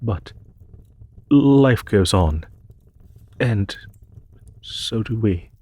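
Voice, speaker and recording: A man playing a courteous, war-weary demon emperor in an intimate audio roleplay, speaking formally and gently with a husky voice. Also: lowish voice, lively intonation, dry recording, heavily acted. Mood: apologetic, sorrowful. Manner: formal, measured, gently spoken.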